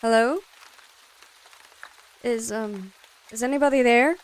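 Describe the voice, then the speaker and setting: A woman's voice: on the high side, takes it slowly, low-quality recording. A young woman playing a wary, dryly sarcastic college student in an audio drama. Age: young adult. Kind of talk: audio drama acting with self-talk.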